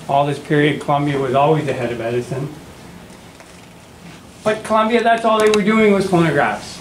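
A man in his fifties presenting an informal history lecture in a plain-spoken, explanatory way. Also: on the high side. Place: classroom with seated audience. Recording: roomy echo, faint noise.

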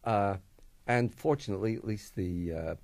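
A man in his sixties whose first language is Russian, a composer recalling his early years in a radio interview, measured and wry with many hesitations. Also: fairly low pitch, darkish tone, dry recording. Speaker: composer guest.

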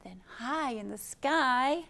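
A woman in her fifties hosting a children's storytime, speaking gently and expressively in simple phrases, with questions for young listeners. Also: sits high, expressive, takes it slowly, creaky. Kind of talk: read-aloud storytime for young children, with chat and song. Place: indoor library program room.

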